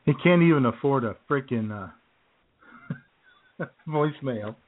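A male radio co-host trades easygoing banter with his partner. His tone stays relaxed and amused, and the audio is narrow and tinny, like a phone call. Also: mid-range voice, slow.

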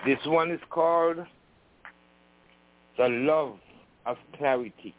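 A man's voice: a little high, slow, no echo. A man calling in to a show to read a poem, chatty and philosophical. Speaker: caller reading poetry. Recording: tinny phone line.

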